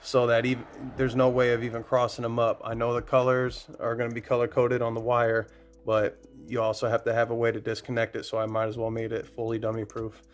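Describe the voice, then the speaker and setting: Guy explaining a diy install, pretty monotone, casual and plain spoken, kinda figuring it out out loud. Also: medium pitch, fairly quick. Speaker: DIY car audio vlogger. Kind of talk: casual how-to explanation to camera.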